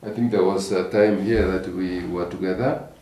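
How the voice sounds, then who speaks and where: A man, slowly recalling his past as an interviewee, halting with many fillers. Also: deep voice, steady tone, mellow, slight background noise. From Kenya. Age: 60s. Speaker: interviewee, husband. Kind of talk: relaxed personal recollection. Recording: roomy, distant from the mic.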